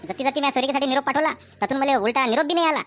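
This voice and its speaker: Cartoon village bachelor, male, thirties, voiced by an actor: grumbling Varhadi dialect, higher-pitched, rapid, self-pitying. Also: a bit bright, no echo. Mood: complaining. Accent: Varhadi Marathi from Vidarbha. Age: 30s. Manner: colloquial, grumbling, rhetorical questions. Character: glum thirty-six-year-old unmarried village man, self-pitying schemer.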